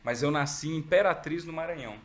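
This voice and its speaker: A middle-aged man with a Minas Gerais accent, a podcast guest telling stories in a relaxed, reflective way. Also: fairly high pitch, fast, a little hiss or hum. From Brazil, raised in Minas Gerais. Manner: relaxed, reflective storytelling with frequent "né?". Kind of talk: interview conversation.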